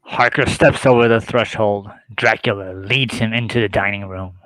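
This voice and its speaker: A man's voice: fairly low pitch, normal speed, fairly bright tone, dry recording. A man reading stage directions as scripted narration for an amateur drama table read.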